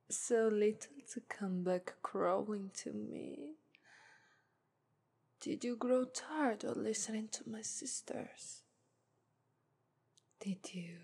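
A haughty female lust demon voiced by a woman who purrs her taunts in a breathy, airy whisper. She speaks with an Italian accent and drags every phrase out very slowly. It's an intimate ASMR roleplay. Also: mid-range voice, a little mellow, exaggerated voice. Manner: whispery, teasing, purring "ara ara".

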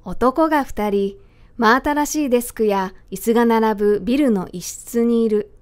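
A woman's voice: a little high, measured pace. A woman reading scripted narration for a radio drama.

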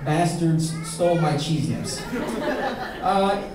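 Young man, high voice, wedding toast, echoey banquet hall, heard from far off. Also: sing-song, a crowd in the background, noisy background. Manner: joking, self-mocking, pausing for laughs. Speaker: best man. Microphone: handheld mic, filmed by a far camera.

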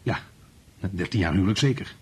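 An irritable middle-aged husband in a radio play. His voice is on the low side, with a sardonic, needling edge. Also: expressive, a little fast, breathy edge, full character voice. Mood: bitter, sarcastic. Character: irritable, sarcastic, frustrated husband of thirteen years. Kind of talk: radio drama acting.

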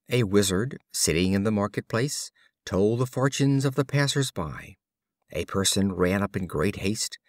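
A man narrating short fables as an audiobook reader, with an expressive, deliberate storytelling delivery. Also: medium pitch, dry recording, clean studio sound.

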